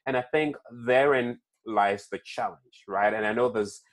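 A man's voice: on the low side, measured pace. A man with a non-native English accent, hosting a casual conversation, often repeating words and checking in with "right?". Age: young kids, adult. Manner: frequent restarts and "right?" tags. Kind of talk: conversational interview.

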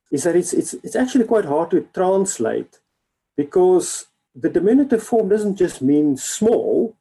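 A man preaching in a relaxed, halting way with many fillers, an Afrikaans speaker. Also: medium pitch, creaky, slightly rough. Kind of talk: informal sermon. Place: church hall.

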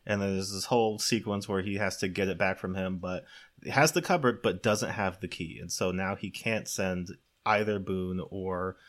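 A man's voice: low-pitched, moderate pace. Man giving a wry, incredulous movie recap. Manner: wry, incredulous storytelling, often laughing. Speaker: podcast co-host recapping a film. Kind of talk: casual comedic movie recap.

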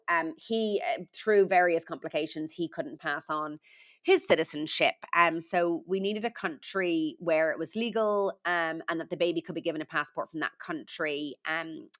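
A woman's voice: on the low side, a bit bright, dull audio. A middle-aged woman with an Irish accent, a podcast guest explaining things carefully in a conversational interview, with frequent ums. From Ireland.

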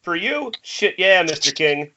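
A man's voice: sits high, very animated, measured pace, noisy background, voice pushed up. A man voicing an eager, cocky young stunt driver in a screenplay table read over a dull internet call.

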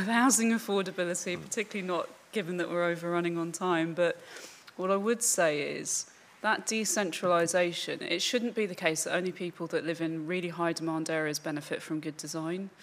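A woman who works for a local council speaks on a conference panel in an earnest, conversational way. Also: lowish voice.

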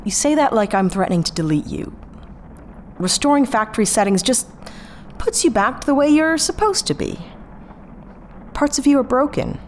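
A woman playing a brisk, businesslike spaceship pilot in a sci-fi audio drama. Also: a little high, very animated, a little hiss or hum. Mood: firm, impatient.

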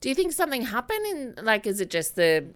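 A woman hosting an interview podcast, enthusiastic and quick to exclaim. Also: on the high side, wildly swooping pitch. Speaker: podcast host.

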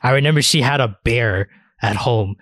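A man's voice: mid-range voice, moderate pace, fairly bright tone, dry recording. Casual, crude-talking man, dry close sound. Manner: informal, crude, profane. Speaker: podcast co-host. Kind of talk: casual chat about anime.

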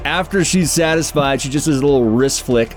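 A man's voice: a little high, a little fast, faint music behind. A man playing a tabletop game, casually improvising narration of his character's actions in the third person.

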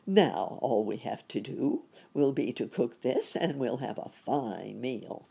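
A woman voicing a kindly, wise gentleman rabbit in a storybook, courteous and gently instructive. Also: very deep voice, expressive, takes it slowly, gravelly, husky, full character voice. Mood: practical, kindly.

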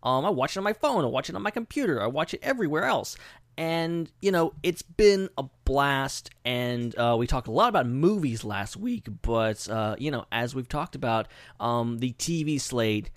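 Male podcast host, highly animated pitch, folksy and effusive, casual chat, dry studio-like sound. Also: normal speed, no echo.

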